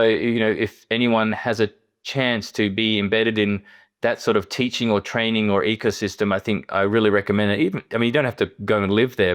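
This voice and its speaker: Low, smooth-voiced Australian man. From Perth, Western Australia. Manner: halting, often repeats words and restarts. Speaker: interview guest, surgeon. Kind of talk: conversational podcast interview.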